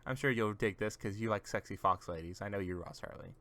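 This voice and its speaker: A man's voice: fairly low pitch, quick pace, pushed and bright. A man casually chatting on a gaming stream, giving rambling, teasing explanations to a friend as he shows off game characters.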